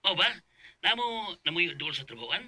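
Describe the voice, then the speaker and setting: A man's voice: a little high, big pitch swings, a little fast. A man with a Cebuano Filipino accent playing an eager, friendly suitor in a radio drama. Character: eager male suitor, friendly and keen to visit.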